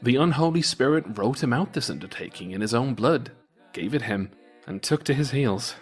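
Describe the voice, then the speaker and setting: A man narrating a folktale as storyteller in a dramatic, theatrical and expressive manner. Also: mid-range voice, moderate pace, slightly rough, soft background music. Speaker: storyteller, podcast host.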